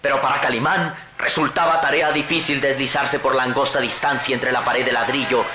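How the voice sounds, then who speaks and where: A man's voice: medium pitch, fairly even intonation, fairly quick. A man narrating an old radio serial in an emphatic, suspenseful way. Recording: roomy old radio recording with music.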